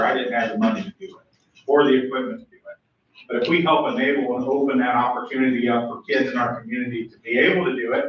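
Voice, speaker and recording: A young man with a booming voice, earnestly giving thanks as a youth pastor at a school board public comment. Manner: earnest, grateful, loud speaking voice. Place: large meeting room. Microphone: room mic from far off. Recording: echoey, dull, distant room recording.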